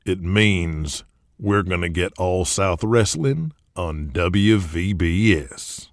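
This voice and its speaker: A man's voice: low-pitched, lively intonation, slow pace, very noisy, exaggerated voice. A man playing a scheming, foul-mouthed wrestling promoter in an audio drama, talking in a conspiratorial, folksy and crude way. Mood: smug triumph.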